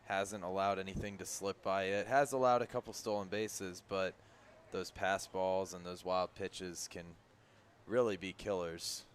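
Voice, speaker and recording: A man giving relaxed, analytical color commentary on a baseball radio broadcast. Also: low-pitched, a little slow, slight background noise. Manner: relaxed, opens with "yeah", analytical.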